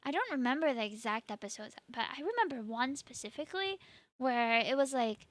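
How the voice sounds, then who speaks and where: A woman's voice: high-pitched, sing-song, fairly quick, no room sound. A woman from Singapore, hosting a stream and chatting casually, laughing often. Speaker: streamer host. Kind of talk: casual chat.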